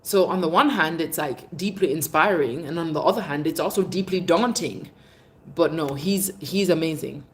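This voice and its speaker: A woman's voice: low-pitched, moderate pace, a little hiss or hum. A woman in her thirties from England with a mixed British and Jamaican accent, chatting casually on a livestream and fondly calling viewers babes and darling. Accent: British English mixed with Jamaican. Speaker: livestream host. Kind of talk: casual live chat, reading viewer comments.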